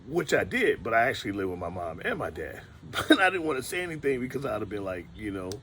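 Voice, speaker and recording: A middle-aged man speaks in a very low, deep register. He talks in a relaxed, rambling vlog style, stopping to restart his sentences as he remembers. A faint ambient hum sits behind him. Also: quick pace. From Detroit, USA. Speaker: vlogger. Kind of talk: casual storytelling monologue.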